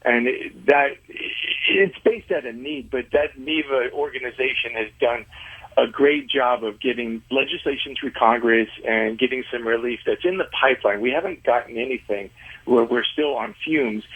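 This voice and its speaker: A man being interviewed as a guest, explaining things conversationally in a halting way, often repeating words. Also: mid-range voice, husky. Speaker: interview guest, music venue organizer. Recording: muffled, narrow phone-like line.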